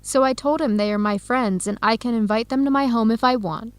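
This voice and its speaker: A woman playing an earnest young Ethiopian-woman in an educational radio drama, speaking clearly and deliberately. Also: on the high side, no room sound, lightly acted. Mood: frustrated, explaining.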